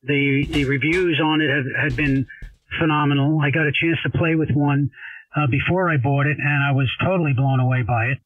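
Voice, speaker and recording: A man's voice: on the high side, steady tone, a little fast. A man chatting casually over ham radio in a rambling, folksy, enthusiastic way, as an amateur operator. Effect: transmitted over ham radio. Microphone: desk radio microphone. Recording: narrow phone-like shortwave radio sound.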